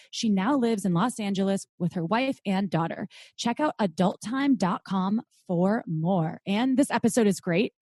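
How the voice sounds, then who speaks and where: A woman's voice: medium pitch, warm tone, breathy edge, no room sound. Woman podcast co-host, dry close sound. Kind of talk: casual chat and reading a bio aloud.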